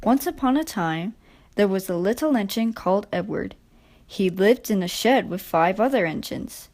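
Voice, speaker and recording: A woman's voice: medium pitch, lively intonation, moderate pace, darkish tone. A woman reading a children's story as a scripted storybook narration.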